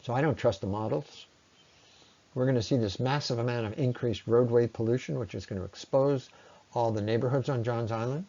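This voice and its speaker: A man's voice: medium pitch, brisk, mellow. Man giving earnest talk, dull lo-fi sound. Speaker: speaker presenting against a road project. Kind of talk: persuasive public presentation, semi-scripted.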